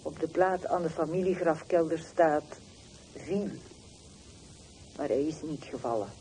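An actress playing a bitter, wounded middle-aged woman on the telephone in a radio play, terse and reproachful with deliberate pauses. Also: sits low, warm tone. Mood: grieving, intense. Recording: noisy old radio drama recording.

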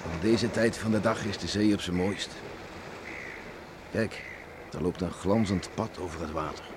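A man's voice: low-pitched, unhurried. An actor playing an ageing, plain-spoken island farmer father in a Dutch radio drama, musing and concerned. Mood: musing, vivid. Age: older. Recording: noisy old radio play recording.

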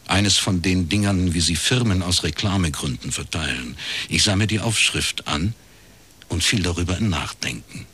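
A man's voice: low-pitched, normal speed, pushed and bright, slight background noise. An actor playing a sceptical, laconic, middle-aged private detective in a radio crime drama, a man who speaks dryly and tersely. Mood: wry, reflective. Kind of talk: radio crime drama acting and first-person narration.

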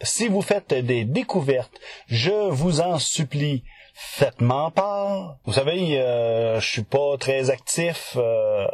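A man's voice: mid-range voice, lively intonation, slow pace, a little mellow, dry recording, clean studio sound. A man giving a casual podcast segment, speaking hesitantly as he searches for words. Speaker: podcast contributor presenting news segment.

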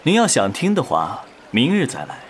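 A man's voice: medium pitch, huge pitch swings, normal speed, slight background noise. A man playing a proud, roguish male demon, devoted and teasing, with dramatic swaggering delivery in an audio drama. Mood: sly, teasing. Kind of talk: Chinese audio drama acting.

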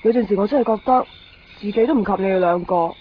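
A woman's voice: medium pitch, fast talker, a little hiss or hum, lightly acted. An actress playing a wistful, observant young woman in a radio drama, with a Hong Kong Cantonese accent. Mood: earnest. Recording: muffled radio broadcast over music.

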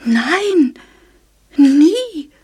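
A woman playing a shaken, gentle lady with a breathy, whispery voice in an audio drama. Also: high-pitched, sing-song, very slow, exaggerated voice. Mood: sad, gentle.

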